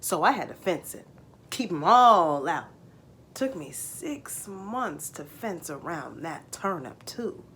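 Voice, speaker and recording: A woman's voice: lowish voice, very animated, slow pace, fairly bright tone, slight background noise, pitched below the performer's own voice, heavily acted. A woman voicing an old farmer father who spins boastful tall tales in a folksy rural dialect for a storybook reading. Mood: boastful, reminiscing.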